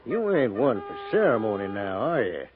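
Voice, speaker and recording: A man's voice: lowish voice, very animated, moderate pace, soft background music. An American man playing a dry, teasing older cavalry trooper with a laconic, folksy drawl in a 1950s radio western. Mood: wry. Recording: tinny, noisy 1950s radio recording.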